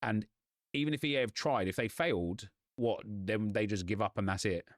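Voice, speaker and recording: A young man, a gaming YouTuber, chatting and ranting casually in a slightly hoarse voice. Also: fairly low pitch, no echo, studio quality. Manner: chatty, ranting, quick asides.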